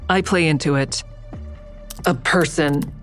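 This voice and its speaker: A wary woman investigator with a very low voice and an unhurried delivery. She is guarded and dry-witted, telling her own story in understated audio drama style. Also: soft background music. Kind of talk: first-person audio drama narration and dialogue.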